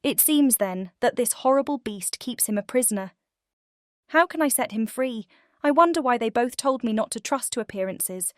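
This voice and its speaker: A woman playing a gentle, sincere young heroine in a dramatized fairy-tale audiobook. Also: fairly high pitch, lively intonation, moderate pace, no room sound, clean studio sound, pitched above the performer's own voice, lightly acted. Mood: pondering.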